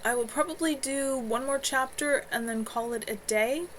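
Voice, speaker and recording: A woman, an author, reading her own novel aloud on a live stream from home, in a gentle, unhurried way. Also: sits high, slight background noise.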